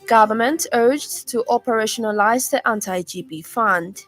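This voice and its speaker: A woman's voice: fairly high pitch, measured pace, over music. A woman with a Zambian accent reading scripted news and weather as a radio presenter. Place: radio studio.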